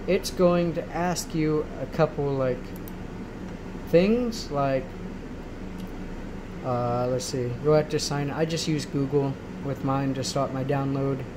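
A man giving a casual, rambling software tutorial, often checking in as he goes, recorded at home on a handheld camera. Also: mid-range voice, slow pace. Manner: rambling, checks in with frequent "right?". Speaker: tutorial host. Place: indoors in a room at home. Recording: noisy amateur home recording. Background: clear steady background noise.

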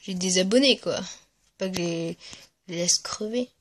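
Casual gameplay commentator with a mid-to-high voice, hesitant and rambling delivery, very dry recording. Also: moderate pace, no echo. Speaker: gaming video creator. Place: at home, voice-over on mobile gameplay recording.

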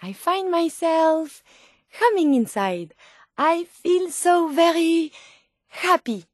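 A woman's voice: extremely high pitch, lively intonation, slow, dry recording, studio quality, full character voice. An actress voicing a polite, curious and tender female robot scientist in a video game, with a French accent and precise, formal phrasing. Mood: loving. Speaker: video game companion character. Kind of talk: video game companion dialogue.

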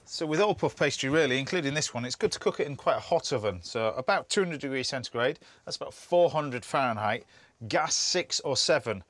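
Chatty TV chef outdoors. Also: male voice, mid-range voice, expressive, a little fast, a bit bright, no room sound. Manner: chatty, instructive, laughs easily. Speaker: TV chef host. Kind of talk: cooking demonstration. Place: outdoors at a campsite.

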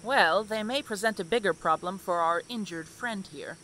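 A woman playing a practical, reasoning young seafarer in a fantasy audio drama, explaining in a measured way. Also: medium pitch, a bit bright, a little hiss or hum, lightly acted. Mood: warning.

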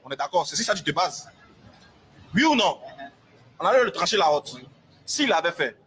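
A man arguing in a heated live debate. He keeps repeating his points and asks the others to let him speak calmly. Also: fairly high pitch, fairly quick. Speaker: debater defending Islam. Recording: replayed debate clip.

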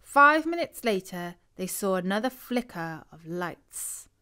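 A woman's voice: medium pitch, very animated, unhurried, bright, forceful tone, clean tone. A woman with a British accent narrating a scripted bedtime story for children.

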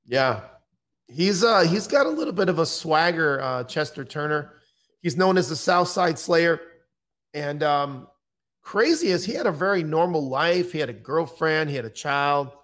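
A man's voice: on the high side, lively intonation, normal speed, a bit bright. Blunt middle-aged American man. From United States. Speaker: podcast guest, true-crime interviewer and author. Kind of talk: conversational interview talk. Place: indoor room, on a video call. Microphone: microphone on boom arm.

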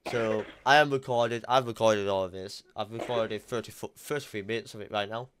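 Blunt low-voiced young man. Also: fairly even intonation, brisk, fairly bright tone, clear, resonant tone. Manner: blunt, emphatic, swears casually. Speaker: YouTuber. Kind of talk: casual call chat.

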